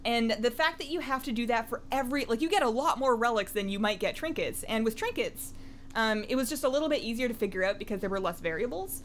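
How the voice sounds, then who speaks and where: A woman's voice: fairly high pitch, lively intonation, quick pace, slight background noise. A woman chatting casually as a gaming vlogger, in a rambling, conversational and self-deprecating way. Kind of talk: casual chatty vlog about an online game.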